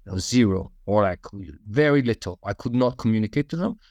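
A man talks in a relaxed, conversational way, telling stories with plenty of fillers and restarts. His voice sits on the low side. The sound is close and dry, with no room echo. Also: fairly quick, dull audio. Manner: relaxed storytelling with many fillers. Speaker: podcast guest. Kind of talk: conversational interview answers.

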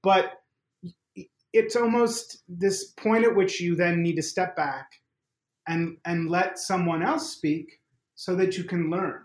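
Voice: mid-range voice, fairly even intonation, slow, roomy sound. A podcast host asking long, meandering questions in a casual interview.

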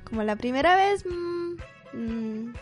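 Unhurried Australian-born woman guest, halting and giggly, faint music. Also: sits high, animated. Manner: hesitant, lots of fillers and laughs. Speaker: guest, student. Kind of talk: casual podcast chat.

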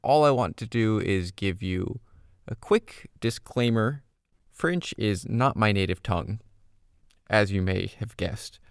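A man's voice: fairly low pitch, animated, slow, gravelly, smooth, dry recording, clean studio sound. A man hosting a podcast, reading a scripted story with a dramatic, suspenseful delivery. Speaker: podcast host.

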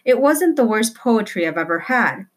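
Woman voicing a precocious boy, pitched up. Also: big pitch swings, normal speed. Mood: eager, formal. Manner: formal, grandiose wording. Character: precocious, wordy, formal young boy, dramatic and earnest.

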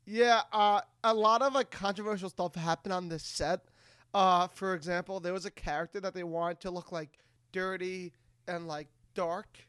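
A man's voice: sits high, fairly even intonation, normal speed, a bit bright, clean tone, no echo, studio quality. A man hosting a casual, comedic podcast chat, stammering and repeating his phrases as he goes. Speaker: podcast host.

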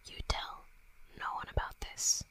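A woman's voice: unhurried, bright, forceful tone, in a whisper. A woman voicing a tough young female werewolf bully in an intimate roleplay audio drama, brash and sweary but grudgingly tender. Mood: hushed, teasing.

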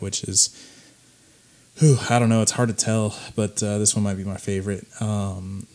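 A man hosting a podcast, talking casually about clips in a raspy voice, rambling with plenty of fillers. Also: on the low side, darkish tone. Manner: laid-back, rambling with many fillers. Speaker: podcast host.

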